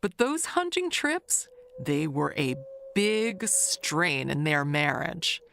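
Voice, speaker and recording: A woman playing a gossipy, wistful, never-married older neighbor who confides with pointed pauses and hushed asides in an audio mystery monologue. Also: deep voice, sing-song, vocal fry, slight background noise, full character voice. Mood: animated gossip.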